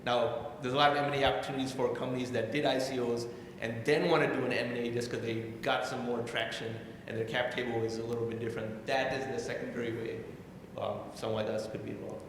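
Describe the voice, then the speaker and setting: Measured man in a very echoey hall. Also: mid-range voice, steady tone. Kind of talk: panel discussion. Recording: very echoey hall, long reverb, faint noise.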